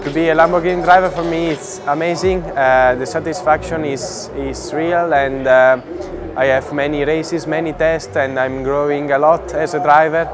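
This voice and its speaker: A man's voice: on the high side, fairly even intonation, moderate pace, music in the background. A young man, a racing driver, talking hesitantly with fillers in an interview outdoors at a track. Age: young adult.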